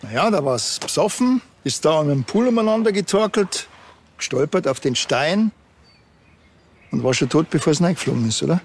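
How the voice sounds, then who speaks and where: Dry middle-aged policeman, Bavarian accent, gravelly, colloquial, sardonic, TV crime comedy. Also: a little high, animated, brisk, a little hiss or hum. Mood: blunt, dry.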